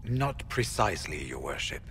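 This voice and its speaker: An actor playing a grave, duty-bound veteran warrior in a fantasy game, speaking in a solemn, formal way. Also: male voice, low voice, sing-song, moderate pace, a bit bright, slight background noise. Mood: ominous, resolute. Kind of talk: dramatic fantasy game acting.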